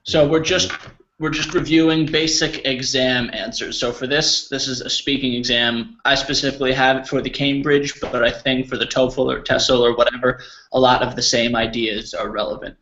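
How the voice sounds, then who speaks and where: A man's voice: mid-range voice, fairly even intonation, moderate pace, a bit bright. A man from New Jersey teaching an online English class, giving friendly explanations to students. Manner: encouraging, explaining. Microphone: video call. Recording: slightly dull video call audio.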